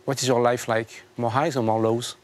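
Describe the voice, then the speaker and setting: A man hosts an interview in English. He asks his questions carefully, with restarts and pauses in his phrasing, at a medium pitch. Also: level tone. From France. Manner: hesitant, slightly halting phrasing. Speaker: interview host.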